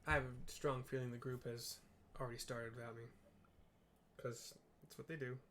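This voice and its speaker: A man's voice: medium pitch, steady tone, moderate pace, fairly bright tone, a little hiss or hum. A man giving a casual, rambling, mildly sweary play-by-play of a game, describing the scenes as he goes. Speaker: gamer narrating a playthrough. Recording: game music behind the voice. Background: video game music.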